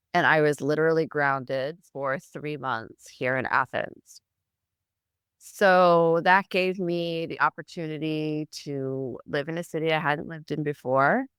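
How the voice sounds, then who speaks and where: Chatty American woman, smooth voice. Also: low voice, no echo, clean studio sound. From United States, Boston area. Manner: chatty, animated storyteller who laughs. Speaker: guest, founder. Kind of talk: casual interview.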